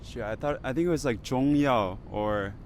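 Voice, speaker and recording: A man's voice: medium pitch, moderate pace, a little hiss or hum. A young man, a pharmacy student, chatting casually on a panel with lots of hesitant fillers. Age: young adult.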